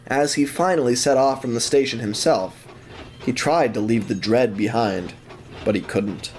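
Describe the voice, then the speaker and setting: A man's voice: mid-range voice, normal speed, a little mellow, a little hiss or hum. A man narrating an audio drama as scripted storytelling.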